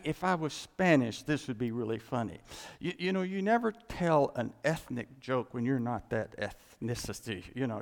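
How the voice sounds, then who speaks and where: Gravelly lively-voiced man preaching in a hall. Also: mid-range voice. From United States. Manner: rambling, self-interrupting, chuckling storyteller. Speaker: pastor. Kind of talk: casual sermon with jokes. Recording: long hall reverb.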